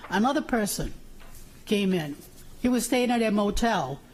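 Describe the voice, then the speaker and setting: A woman's voice: mid-range voice, lively intonation, normal speed, a little mellow, slight background noise. A woman from Puerto Rico giving informal testimony at a public hearing, telling it through anecdotes and quoted remarks. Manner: anecdotal, acts out remembered remarks. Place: city council chamber hearing.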